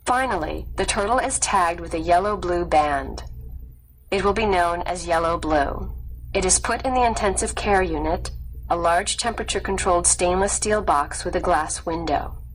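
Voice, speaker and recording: A woman narrating a scripted nonfiction story in a measured, clearly read delivery. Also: fairly low pitch, low-quality recording. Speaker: audiobook narrator.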